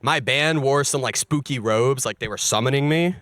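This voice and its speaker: A man's voice: medium pitch, normal speed, fairly bright tone, dry recording, studio quality. A young man, a streamer and musician, narrating his own story in a candid, wry, self-mocking way. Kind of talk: personal narration to camera.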